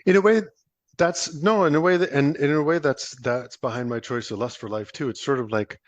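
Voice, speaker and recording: A man's voice: mid-range voice, fairly quick, dry recording, highs cut off. A man speaking as a podcast guest in an informal, self-deprecating, sweary way. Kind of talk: casual interview chat.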